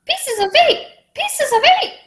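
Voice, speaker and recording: A woman voicing an old pet parrot of a pirate in a radio play, with a shrill squawk. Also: very animated, measured pace, a bit bright, exaggerated voice. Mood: squawking alarm.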